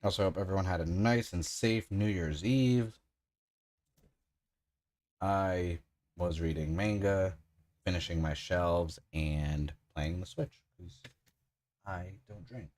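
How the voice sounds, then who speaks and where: Man, 30s, extremely low voice, chatty, rambling livestream builder. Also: a little mellow. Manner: rambling, enthusiastic, frequent "like" fillers. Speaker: streamer building a model kit. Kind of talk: casual livestream chat while building.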